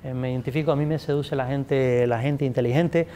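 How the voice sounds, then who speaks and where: A man's voice: medium pitch, fairly even intonation, fairly quick, mellow, a little hiss or hum. A man in his forties, a native English speaker, answering interview questions about literature in a studio, with lots of restarts and gestures. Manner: gestures a lot, many restarts, addresses audience. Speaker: guest speaker, literature scholar. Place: studio set with pink backdrop. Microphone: table mic.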